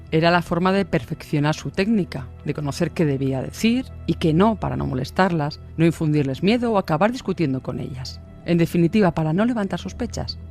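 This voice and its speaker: A man's voice: a little high, a little mellow. A man with a Castilian Spanish accent reading a scripted true-crime radio narration. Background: music bed.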